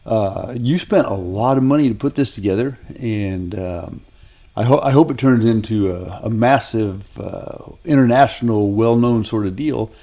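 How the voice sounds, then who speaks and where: A man's voice: fairly low pitch, normal speed, dark tone. A man speaking as a podcast host, asking questions in a casual interview.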